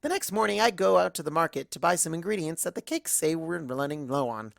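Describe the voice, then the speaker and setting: A woman's voice: deep voice, expressive, brisk, vocal fry, dry recording, clean studio sound. A woman narrating a story expressively in character, as a fan fiction reader.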